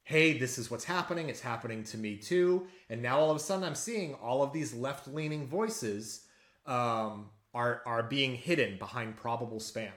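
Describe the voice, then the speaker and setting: A man co-hosting a casual political podcast, telling a story in a rambling, animated way with many restarts. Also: medium pitch, moderate pace, reverberant. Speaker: podcast co-host.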